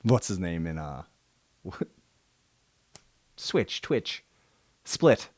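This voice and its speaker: Deep-voiced man rambling in a dry room. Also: big pitch swings, very slow, dry recording. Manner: rambling, self-mocking, with sudden loud jokey bursts. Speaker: podcast host. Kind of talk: casual solo monologue.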